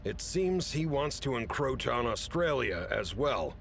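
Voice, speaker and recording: A man playing an authoritative, businesslike chief who briefs agents in a measured way in an audio drama. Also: very animated, gravelly, fairly bright tone, full character voice. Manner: authoritative, measured, matter-of-fact. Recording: rumbly background noise.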